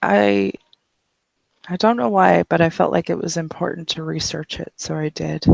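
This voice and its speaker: A composed woman scientist talks in a calm, measured way, pausing often on little fillers. The voice is gravelly and close, in a dry room. A game master puts her on in a near-natural voice. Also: medium pitch, no echo. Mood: friendly.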